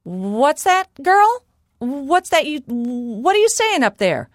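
A storybook giantess and mother played by a woman, bossy yet gullible. She exclaims briskly with lively, swinging pitch, in a dry, close sound. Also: dry recording, heavily acted. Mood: puzzled, bustling.

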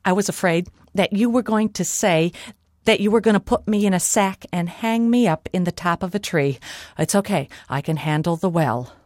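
A woman's voice: lowish voice, normal speed, a little mellow, no room sound, studio quality, close to an everyday voice. A woman voicing a clever, brave storybook girl with a gushing, wheedling manner. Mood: feigned relief, pleading. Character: clever, brave girl feigning relief and pleading.

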